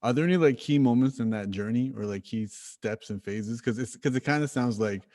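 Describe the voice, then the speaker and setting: A man's voice: medium pitch, brisk, darkish tone. A man hosting a podcast conversation, thinking aloud in a reflective way and leaning on lots of "you know" and "like". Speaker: podcast host. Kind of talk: conversational interview.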